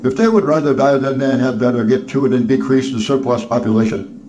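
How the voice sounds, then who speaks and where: A man's voice: mid-range voice, fairly quick, lo-fi sound, natural voice. A man voicing a sour, miserly old businessman in a storybook, curt, stern and sneering. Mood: cold, dismissive.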